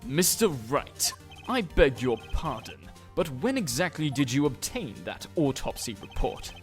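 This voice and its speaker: A man's voice: mid-range voice, big pitch swings, a little slow. A man voicing a smug, formal courtroom prosecutor in a video game fandub, speaking with condescending composure. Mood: smug, composed. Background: music.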